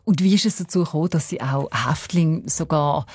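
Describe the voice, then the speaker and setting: Calm woman interviewer. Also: sits low, a little fast, breathy edge, no echo. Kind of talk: interview questions.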